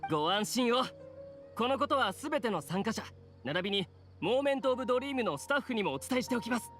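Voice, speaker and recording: A man's voice: very high voice, animated, rapid-fire, a bit bright. A man voicing a polite, helpful, concerned young event organizer in an anime game, with music behind. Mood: reassuring. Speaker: game character voice. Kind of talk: anime game dialogue acting.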